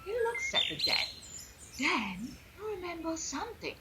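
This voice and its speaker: A woman narrating a children's picture book in an expressive, deliberate, drawn-out way, as for young children. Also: extremely high pitch, background noise. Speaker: storyteller, parent reading aloud.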